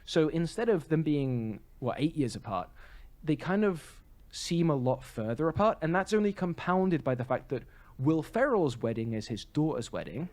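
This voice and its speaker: A man co-hosting a podcast, chatting casually about film in a rambling, halting way full of self-corrections. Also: a little high, expressive, darkish tone. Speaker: podcast co-host. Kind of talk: casual film review chat.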